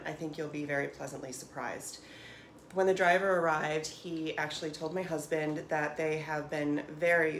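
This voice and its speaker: Low-voiced, chatty American woman vlogger. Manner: enthusiastic, chatty, emphatic. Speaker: home cook and vlogger. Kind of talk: casual unboxing vlog talk to camera. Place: small home kitchen, indoors. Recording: clearly noisy home room recording.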